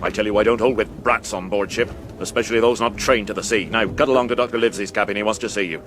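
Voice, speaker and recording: An actor playing a stern, curt ship's captain, clipped and commanding, in a radio drama. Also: male voice, fairly low pitch, fairly even intonation, quick pace, over music, natural voice. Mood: stern, irritated.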